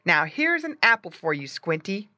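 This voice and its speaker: A woman voicing an eager, kind young farm boy from a children's storybook, calling out loud encouragement. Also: fairly low pitch, sing-song, normal speed, no room sound, heavily acted. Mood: encouraging.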